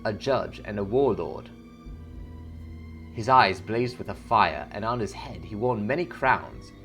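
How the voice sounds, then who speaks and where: A haunted warlock, acted out by a man, speaks in a low, solemn voice. The delivery is measured and brooding, heavy with guilt, like a dramatic storybook reading of a dark journal, with music behind it.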